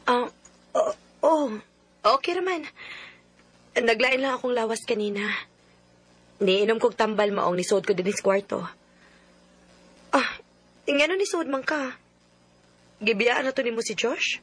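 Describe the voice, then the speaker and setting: A woman's voice: a little high, lively intonation, a little slow, long pauses, fairly bright tone, breathy edge, no echo, heavily acted. A woman with a Cebuano accent acting a secretive, emotional young lover with a hesitant, plaintive manner in a radio drama. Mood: uneasy. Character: secretive, emotional young woman torn between two men. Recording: faint background noise.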